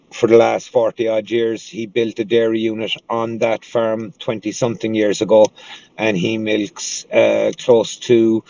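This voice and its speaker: A man with a Cork accent, a guest auctioneer chatting in an interview, unhurried and pausing often mid-sentence. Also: fairly low pitch, vocal fry, a little mellow, no echo. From Cork City, Ireland. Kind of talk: casual interview chat. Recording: dull, distant mic.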